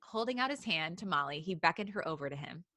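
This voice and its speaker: A woman with a bright voice and a close, dry sound reads a story aloud like a podcast co-host among friends. She is playful and giggly, happy to wander off into casual banter. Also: on the low side, expressive, fast, dry recording. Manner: playful, giggly, digresses from the reading. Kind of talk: casual read-aloud of fan fiction with banter.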